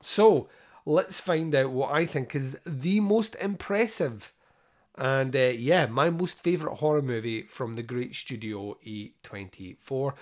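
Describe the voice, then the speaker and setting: A man hosting a podcast, talking casually and emphatically in a rambling solo monologue. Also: a little high. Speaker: podcast host. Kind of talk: casual solo talk introducing a film countdown.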